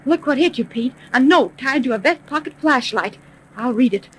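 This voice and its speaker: Female detective, 1930s mystery serial. Higher pitched, decisive, dramatic; faint noise on the recording. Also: lively intonation, moderate pace, a bit bright. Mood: urgent, excited. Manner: dramatic, urgent. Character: woman private detective, urgent, decisive, excitable. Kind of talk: 1930s radio serial acting. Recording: noisy 1930s radio recording.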